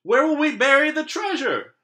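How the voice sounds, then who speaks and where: A man voicing a blustering, commanding pirate captain in a storybook, with bellowed, drawn-out lines. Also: extremely high pitch, big pitch swings, bright, forceful tone, reverberant, pitched above the performer's own voice, exaggerated voice. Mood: alarmed.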